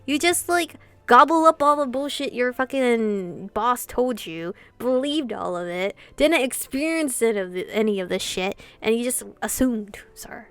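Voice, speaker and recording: A woman's voice: on the high side, unhurried, hardly any pauses, smooth, no room sound. A woman hosting a let's-play, reading visual novel dialogue aloud and reacting theatrically with plenty of swearing. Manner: expressive, foul-mouthed, theatrical reactions.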